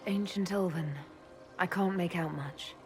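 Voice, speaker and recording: A composed elf leader in a fantasy game. Her voice is fairly low and measured. She sounds earnest and resolute, with soft music behind her. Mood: questioning, resolute. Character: composed, resolute young female elf commander. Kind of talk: dramatic video game acting.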